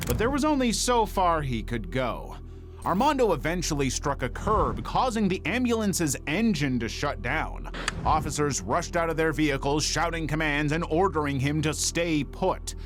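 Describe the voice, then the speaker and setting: A man reading scripted true-crime narration in a measured, dramatic storytelling manner. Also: fairly high pitch, animated, a bit bright, faint music behind.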